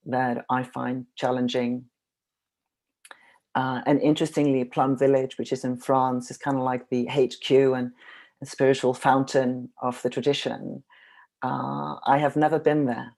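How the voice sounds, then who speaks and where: A panelist from Iceland speaking slowly and thoughtfully on a video call, pausing often. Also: mid-range voice, vocal fry, darkish tone. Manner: thoughtful, many pauses. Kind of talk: reflective discussion.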